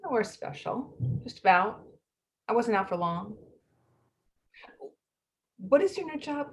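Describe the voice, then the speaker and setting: A woman acts out a fretful wife in a stage play. Her pitch moves around a great deal as she stammers and hesitates, evasive and flustered, like someone hiding a secret. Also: sing-song, unhurried. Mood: nervous, evasive. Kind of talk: play reading.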